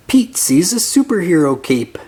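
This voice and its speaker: An adult reading a picture book aloud in an expressive narration style meant for young children. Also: medium pitch, moderate pace, slight background noise. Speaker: children's storybook reader.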